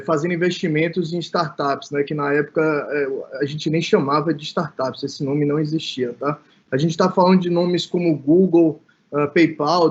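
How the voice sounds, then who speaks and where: A man from Salvador with a Bahian Brazilian accent speaks in a fairly high voice. He presents informally and conversationally, checking in with short tags. The sound is dull and lo-fi, like an online call. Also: a little mellow. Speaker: innovation manager, guest speaker.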